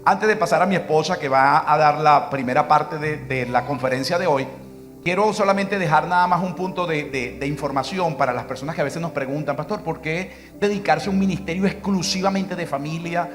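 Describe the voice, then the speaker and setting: Fast-talking Venezuelan pastor preaching. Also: male voice, a little high, a bit bright. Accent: Venezuelan Spanish. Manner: storytelling, quoting his wife in dialogue. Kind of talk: sermon with personal story.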